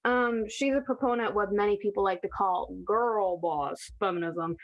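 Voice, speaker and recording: A woman's voice: mid-range voice, normal speed, highs cut off, natural voice. A woman playing a breezy, drily amused demon in a theatrical comic monologue, with casual, wry delivery.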